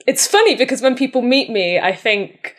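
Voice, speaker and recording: A woman from Manchester with a softened Mancunian accent, talking reflectively as a guest in a conversational interview. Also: a little high, very animated, moderate pace. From Manchester, England. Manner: reflective, soft-spoken, frequent "mm" and "yeah". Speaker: podcast guest.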